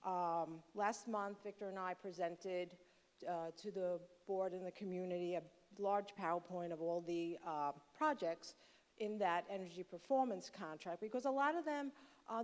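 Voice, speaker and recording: A woman explains capital projects to a school board in a steady, explanatory voice with a few fillers. Her voice carries a long reverb from the meeting hall. Her pitch sits in an ordinary middle range. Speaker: assistant superintendent for business. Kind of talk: formal presentation to a board.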